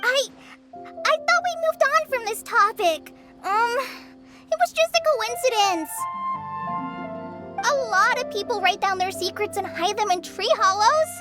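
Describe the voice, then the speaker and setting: A woman voicing a shy, earnest young girl in a video game, speaking gently and hesitantly. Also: very high voice, unhurried. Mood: shy, evasive. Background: music.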